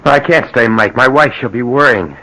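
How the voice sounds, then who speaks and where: An actor playing an anxious, guilt-ridden reluctant accomplice in an old-time radio crime drama, pleading and agitated. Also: male voice, medium pitch, a little fast, bright, forceful tone, close to an everyday voice, rough recording. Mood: stricken, anxious. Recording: vintage radio drama recording.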